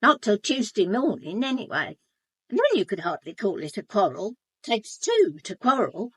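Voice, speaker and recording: An actress playing a gossipy, blunt English housekeeper in a British radio drama, chatty, colloquial and confiding. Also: female voice, mid-range voice, very animated, normal speed, fairly bright tone, breathy edge, no room sound. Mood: matter-of-fact. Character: gossipy, blunt, sharp-eyed housekeeper, a working-class woman. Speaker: actor in a radio murder mystery.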